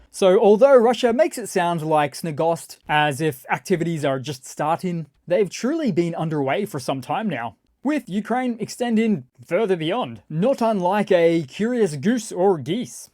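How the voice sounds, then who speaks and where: Mellow, dry-sounding scripted news narrator. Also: medium pitch, no room sound. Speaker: news commentator and channel host.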